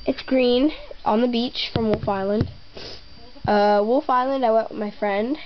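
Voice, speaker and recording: A young girl giving a rambling tour of her toy horse barn at home, with many ums and pauses. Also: a little high, fairly even intonation, a little hiss or hum. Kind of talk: casual home video narration. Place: bedroom at home. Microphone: handheld camera mic. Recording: slightly dull lo-fi home camera audio.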